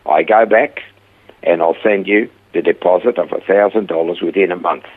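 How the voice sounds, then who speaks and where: Older Swiss man, rough, low voice, a guest acting out his own stories on radio. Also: moderate pace, phone-quality sound. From Switzerland. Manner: animated storyteller acting out quoted dialogue. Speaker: guest telling his life story. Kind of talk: casual storytelling interview.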